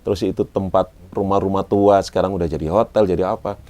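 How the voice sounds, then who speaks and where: A man's voice: low-pitched, steady tone, quick pace, warm tone. A man in his forties with a Malang Javanese accent, chatting casually as an interview guest, self-mocking and slipping in Javanese words. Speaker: guest, event MC and former radio announcer. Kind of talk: relaxed interview chat.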